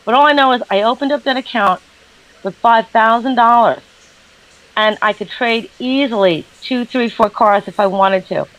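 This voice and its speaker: A woman's voice: on the high side, normal speed, a bit bright, dull audio. A woman with a New York accent, a guest trader chatting casually on a call, recorded through her laptop's built-in mic.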